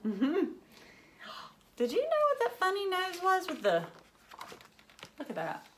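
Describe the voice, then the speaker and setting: Lady doing a kids read aloud, pretty slow, acts all amazed, asks the little ones lots of questions. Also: extremely high pitch, wildly swooping pitch, fairly bright tone. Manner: exaggerated wonder, frequent questions to young listeners. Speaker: teacher reading aloud. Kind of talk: children's picture book read-aloud. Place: indoors, furnished living room.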